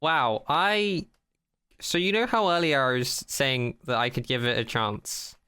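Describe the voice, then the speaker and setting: A man's voice: medium pitch, a little slow, pushed and bright, clear, resonant tone. A man co-hosting a podcast in casual unscripted chat, skeptical and opinionated, often repeating and rephrasing as he goes. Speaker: podcast co-host.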